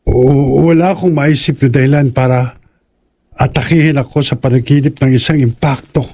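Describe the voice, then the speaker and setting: A man playing husky young man haunted by nightmares. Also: medium pitch, fairly even intonation, normal speed, mellow, close to an everyday voice. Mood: uneasy.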